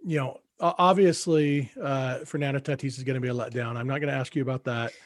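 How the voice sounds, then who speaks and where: Measured, thoughtful sports podcast man. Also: medium pitch, steady tone, no echo, studio quality. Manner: thoughtful, measured, hesitant restarts. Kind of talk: casual sports analysis.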